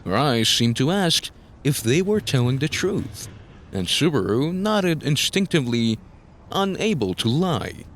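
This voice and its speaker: A man's voice: medium pitch, sing-song, measured pace, a little hiss or hum. A man narrating a scripted story in a dramatic style, as the narrator of an audio drama.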